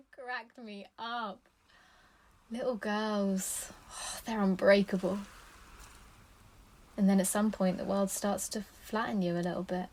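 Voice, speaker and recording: A woman's voice: medium pitch, fairly even intonation, darkish tone, a little hiss or hum. An actress playing an anxious, protective mother, confiding and reflective in a naturalistic audio play. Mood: tender, worried. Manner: confiding, unhurried.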